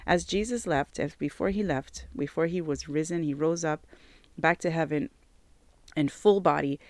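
A woman in her forties giving an earnest personal faith testimony, slightly hoarse from allergies, repeating phrases for emphasis and filling with "like" and "you know". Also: sits low, moderate pace, a little mellow, dry recording. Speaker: church member sharing her testimony.

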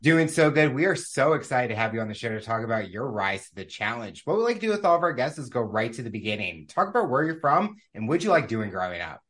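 A man's voice: medium pitch, very animated, fast talker, few pauses, a bit bright. A man hosting a podcast, asking his guest eager, upbeat questions. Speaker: podcast host. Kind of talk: interview hosting.

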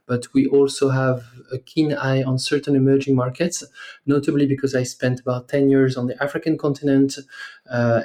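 Man, French accent, thirties, dark mellow tone, flat delivery, lots of ums, podcast guest. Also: medium pitch. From France. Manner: measured, hesitant with many fillers. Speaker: guest, startup investor. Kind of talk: podcast interview.

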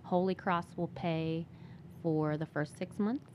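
A young woman with a fairly low voice talks about herself in a casual interview style. There is a faint bed of background noise and ambience behind her. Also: steady tone, slow, darkish tone. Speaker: podcast interview guest. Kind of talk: casual personal chat.

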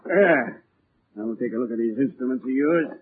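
A man's voice: medium pitch, animated, a little fast, a little hiss or hum, close to an everyday voice. An actor playing a ruthless one-armed criminal in a 1940s radio drama, taunting his victim in tough, sneering gangster talk. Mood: gloating menace. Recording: muffled, tinny 1940s radio recording.

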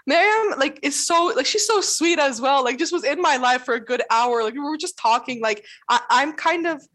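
A woman chatting casually in an interview, talking in quick bursts full of "like" and laughing often. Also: sits high, lively intonation, a bit bright. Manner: chatty, fills speech with "like". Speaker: interviewee, new employee. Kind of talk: casual conversation.

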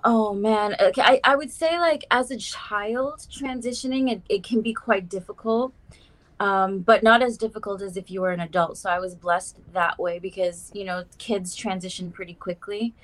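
A woman's voice: medium pitch, fairly even intonation, normal speed, rough recording. Young woman, chatty podcast guest, lots of fillers. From South Africa. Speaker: guest artist. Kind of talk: casual interview chat.

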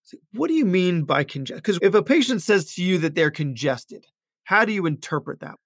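A man's voice: sits high, big pitch swings, normal speed, no room sound, clean studio sound. A man speaking as an ear, nose and throat doctor, talking casually about patient cases and often repeating words as he thinks aloud. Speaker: ENT physician.

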